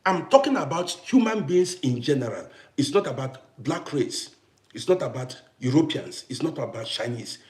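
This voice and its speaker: A man's voice: medium pitch, sing-song, moderate pace. Emphatic man from Congo, echoey room. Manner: emphatic, repetitive, greets viewers by name. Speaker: TikTok live host. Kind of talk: casual live-stream talk.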